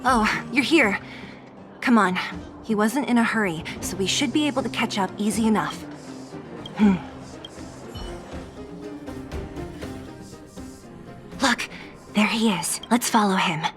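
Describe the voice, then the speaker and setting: A woman voicing a watchful young woman leading a covert chase in a video game, brisk and bossy in a conspiratorial way. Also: medium pitch, over music, lightly acted.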